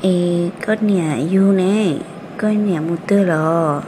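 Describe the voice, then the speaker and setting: A woman's voice: fairly low pitch, slow, noisy background. A woman voicing a female character in a family drama cartoon, in dramatic dialogue. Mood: conversational.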